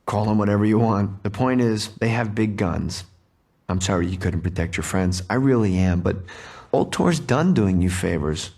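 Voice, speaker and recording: An actor playing a smug, cold corporate executive, condescending and controlled, in a video game cutscene. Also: male voice, on the low side, expressive, normal speed, gravelly, darkish tone, slightly rough, full character voice. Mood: cold threat.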